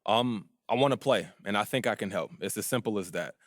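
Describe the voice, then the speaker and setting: An actor playing a cocky young American basketball player in a video game, talking in a breezy, slangy way. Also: male voice, lowish voice, fairly quick, no room sound, studio quality, close to an everyday voice. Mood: defiant, breezy. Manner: self-assured, breezy, slangy. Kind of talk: naturalistic game cutscene dialogue.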